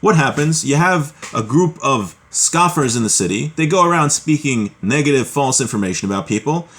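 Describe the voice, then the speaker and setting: A man with a medium-pitched voice teaches a religious text class. He reads passages aloud and then explains them. His delivery is halting, with repeated words and restarts as he works through the material. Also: lively intonation, fairly bright tone.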